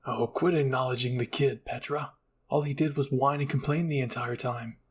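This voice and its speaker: A man's voice: medium pitch, fairly even intonation, normal speed, husky, close to an everyday voice. A man voicing a brash, boastful, grumbling soldier in an amateur anime roleplay. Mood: scornful.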